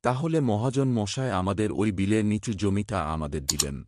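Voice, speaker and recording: A man's voice: on the low side, lively intonation, moderate pace, natural voice. A man playing a practical, thrifty village fish farmer in a cartoon, polite and matter-of-fact. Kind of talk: cartoon voice acting. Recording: clear background noise.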